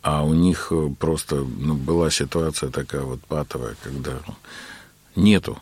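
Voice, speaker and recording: A man being interviewed about his work as a keyboard player, telling his story in an unhurried way with many filler words. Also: a bass voice, fairly even intonation, a little mellow, husky.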